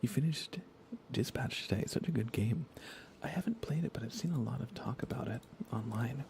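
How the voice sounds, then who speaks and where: A man live streaming a game in a casual ASMR style, chatting softly with viewers in a hushed voice over background noise. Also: medium pitch, fairly quick, creaky.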